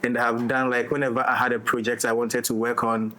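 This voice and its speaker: A man's voice: mid-range voice, little pitch movement, quick pace, sharp tone. A man speaking as a director in a casual, teasing conversation. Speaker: director in a discussion. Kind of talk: casual chat. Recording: noticeable background noise.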